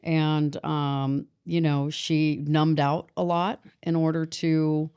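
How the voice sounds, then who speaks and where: A woman in her forties speaks as a podcast guest. Her voice is low and smooth, and she pauses to reflect, restarts her sentences and uses fillers as she tells her story. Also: level tone, mellow. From Boston, grew up in San Diego. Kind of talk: personal story in a podcast interview.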